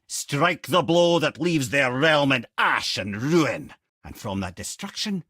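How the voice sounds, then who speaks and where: An actor playing a witty, learned old storyteller and guide in a video game, with a Scottish accent and a wry, erudite, theatrical way of talking. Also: male voice, medium pitch, a bit bright, slightly rough, no echo, studio quality, exaggerated voice. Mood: solemn storytelling. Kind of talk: video game character voice lines, storytelling and banter.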